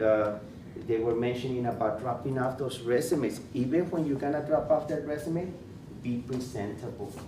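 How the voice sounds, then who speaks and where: A man with a Spanish accent, a school principal giving interview advice in an informal workshop, repeating words and restarting often. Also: on the low side. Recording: echoey room, far from mic, noisy.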